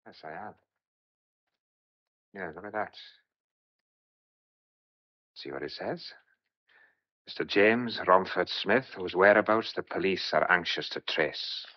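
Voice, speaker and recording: An actor playing a jealous, suspicious rural sheep farmer in an old-fashioned radio play, blunt, needling and sardonic. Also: male voice, sits low, slow pace, pauses often, lo-fi sound. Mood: grim, low.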